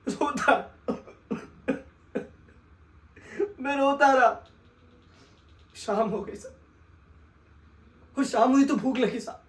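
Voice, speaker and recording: A woman performing a dramatic monologue as a desperate orphaned son, pleading with a sahib, stammering and swinging between hushed and wailing delivery. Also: high-pitched. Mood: anguished. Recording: echoey room.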